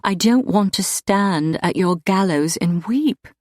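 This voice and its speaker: A woman's voice: medium pitch, slow, darkish tone, dry recording, clean studio sound, pitched above the performer's own voice, lightly acted. A woman voicing a fierce, stubborn young lady in love, heated and emphatic, in an audiobook. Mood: fiercely defiant. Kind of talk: audiobook character dialogue.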